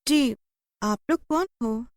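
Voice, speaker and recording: A woman's voice: on the high side, slow, dry recording, studio quality, faint music behind, pitched above the performer's own voice. A woman voicing a timid, fearful young woman in a dramatized horror story. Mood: wary, fearful.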